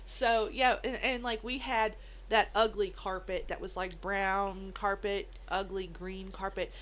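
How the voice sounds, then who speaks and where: A woman, co-hosting a podcast in a loud, swearing, quick-to-laugh casual chat. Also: medium pitch, steady tone. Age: 30s. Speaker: podcast co-host. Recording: faint background noise.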